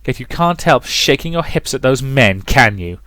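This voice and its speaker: A man's voice: medium pitch, animated, normal speed, bright, forceful tone, pitched above the performer's own voice. A man voicing a harsh, controlling mother who scolds and accuses in a visual novel reading. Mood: furious.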